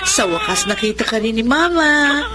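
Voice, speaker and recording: An actress playing an eager, gentle adoptive mother in a Tagalog radio drama, cooing and tender. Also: a little high, sing-song, normal speed, heavy background noise.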